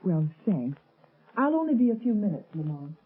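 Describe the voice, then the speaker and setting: Concerned society girl, old radio thriller; polite, earnest, mid-pitched husky voice, tinny lo-fi sound. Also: very animated, normal speed. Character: polite, anxious young society woman, concerned friend. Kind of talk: 1930s-40s radio mystery acting. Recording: muffled, lo-fi vintage radio recording.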